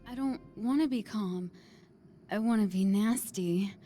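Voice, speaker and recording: Game flirt, teasing and unhinged; actress, very slow drawl, score playing underneath. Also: female voice, medium pitch, warm tone, soft background music, natural voice. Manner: sultry, teasing, slow purring. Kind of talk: video game cutscene acting.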